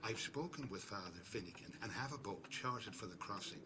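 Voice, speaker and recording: A man's voice: on the low side, lively intonation, a little fast, background noise, natural voice. A man playing a steadfast, protective older priest in a game, grave and folksy. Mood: grave, resolved. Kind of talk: video game cutscene acting. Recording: echoey reverberant hall, loud music.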